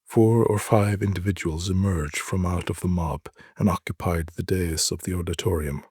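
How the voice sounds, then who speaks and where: Male narrator, low and very husky, solemn and deliberate literary storytelling, dry close sound. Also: fairly even intonation, a little mellow, no room sound. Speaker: narrator reading short stories. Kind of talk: dramatic literary reading.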